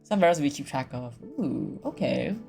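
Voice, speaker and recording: Mid-pitched voice, casual livestream commentary, animated, slangy, profane, music in the background. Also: normal speed, gravelly. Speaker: streamer. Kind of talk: casual livestream commentary with visual novel reading.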